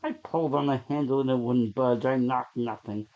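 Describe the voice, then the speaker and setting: A man reading a horror story aloud as a dramatic narrator, with deliberate, suspenseful delivery. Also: mid-range voice. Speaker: story reader. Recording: dull, lo-fi sound.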